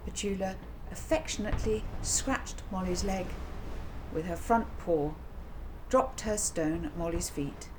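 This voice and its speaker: Lower-voiced woman storyteller, noisy recording. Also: measured pace. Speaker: author reading own book aloud. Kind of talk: children's audiobook narration, expressive storytelling.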